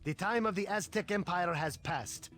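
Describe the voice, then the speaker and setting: A man's voice: high voice, moderate pace, fairly bright tone, faint music behind. A man playing an arrogant, threatening conquistador commander, delivering haughty taunts in a strategy game. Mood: menacing, smug. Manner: haughty, menacing.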